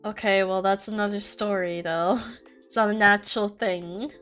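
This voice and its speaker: A woman's voice: medium pitch, fairly even intonation, moderate pace, a bit bright, faint music behind. A young woman hosting a casual podcast, blunt and giggly, swearing freely and rambling with restarts. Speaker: podcast host and streamer. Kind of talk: casual chat.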